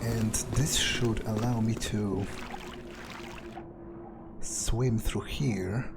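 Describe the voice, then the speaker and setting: Slow, halting man musing to himself. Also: lowish voice, steady tone, creaky, very noisy. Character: vampire player character musing to himself. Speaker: gamer playing through a role-playing game in character. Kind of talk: in-character game playthrough, thinking aloud.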